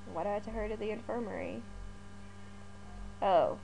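A woman's voice: mid-range voice, fairly quick, vocal fry. Stuffy-nosed woman with background hum. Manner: reads game text aloud, chatty asides, frequent laughs. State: stuffy with a cold. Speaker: let's play gamer. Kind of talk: casual gameplay commentary.

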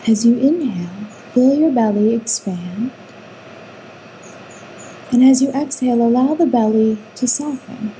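A woman guiding a sleep meditation with soothing, unhurried instructions and long pauses between phrases. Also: fairly high pitch, warm tone, slight background noise, poor audio. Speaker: meditation guide.

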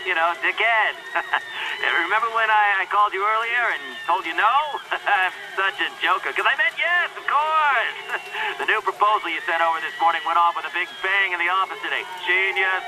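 Man acting high-pitched, excitable games executive. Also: moderate pace, background noise, lightly acted. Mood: jovial. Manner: jokey, theatrical, emphatic. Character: excitable, exasperated male video game company executive. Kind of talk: video game cutscene acting. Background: music.

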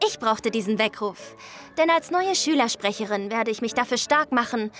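A woman's voice: a little high, lively intonation, moderate pace, a bit bright. A young woman playing an assertive, defiant schoolgirl who stands up to authority in a German audio drama. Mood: firm, confronting. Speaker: actor. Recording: music under the voice.

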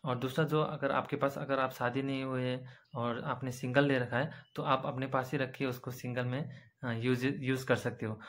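A young man casually explaining canteen card benefits to his viewers, with frequent filler pauses, recorded on a phone camera at arm's length indoors. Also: mid-range voice. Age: young adult. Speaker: vlogger explaining army canteen cards. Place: indoor room with bright windows behind.

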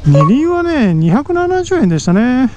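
Man, very high voice, excited motovlog rider, helmet mic, faint road noise. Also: measured pace, dull audio. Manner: excited, frequent exclamations at the scenery. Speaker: motovlog host. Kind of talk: casual motorcycle vlog commentary. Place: riding a motorcycle outdoors on a mountain road. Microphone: helmet mic on a moving motorbike.

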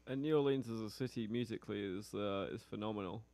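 A male radio host with a foreign accent, interviewing a musician in a relaxed, hesitant way. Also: lowish voice, quick pace. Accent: foreign, non-local. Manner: relaxed, hesitant, many fillers. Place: small radio studio. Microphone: studio mic on a stand.

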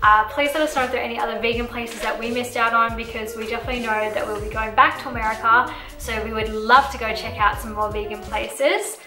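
A woman's voice: fairly high pitch, steady tone, quick pace, hardly any pauses, reverberant, over music. A young woman co-hosting a casual vlog, chatting with frequent "like" fillers. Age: young adult. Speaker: vlog co-host. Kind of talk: casual chat. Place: bright indoor room, seated.

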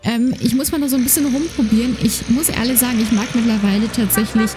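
A woman's voice: a little high, steady tone, fast, a little hiss or hum. A woman with a slight lisp, chatting casually while playing a horse game, with many fillers, on a desk microphone. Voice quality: slight lisp, soft trailing S sounds. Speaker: gaming YouTuber. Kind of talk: casual let's-play commentary. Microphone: desk microphone with noise gate. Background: game music and horse hoofbeats.

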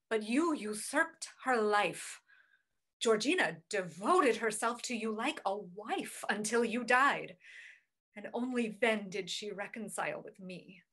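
An actress playing a wronged wife who voices her grievances in a measured, bitter way. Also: fairly high pitch, bright, forceful tone, exaggerated voice. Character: aggrieved wife of a famous author.

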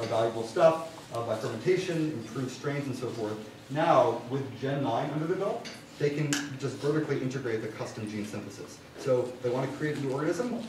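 Young guy presenting slides in a lecture hall, casual and wry, super echoey, far from mic, noisy. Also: medium pitch. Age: young adult. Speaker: student presenter at a university science club. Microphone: far camera. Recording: distant room mic, very echoey, clear noise.

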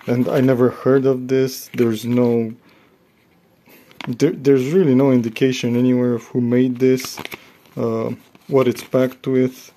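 A man talks through a casual hobby review in a gravelly, mellow voice. He thinks aloud as he goes, stopping and starting, with nothing scripted. The tone stays husky throughout. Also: mid-range voice. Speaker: hobbyist reviewer of cassette tapes. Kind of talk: casual, unscripted product review.

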